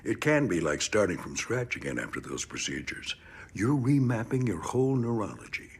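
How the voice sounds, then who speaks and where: An actor playing a gritty, middle-aged decorated military trainer in an audio drama, gruff and plain-spoken with emphatic, measured praise. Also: male voice, on the low side, very animated, slight background noise. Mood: proud, impressed. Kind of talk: audio drama interview acting.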